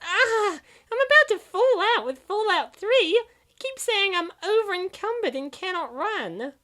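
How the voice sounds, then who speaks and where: A woman's voice: very high-pitched, sing-song, measured pace, pushed and bright, exaggerated voice. A woman voicing a stubborn, exasperated young female gamer in a comic dub, complaining in long rattled-off runs. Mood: stubborn, whiny.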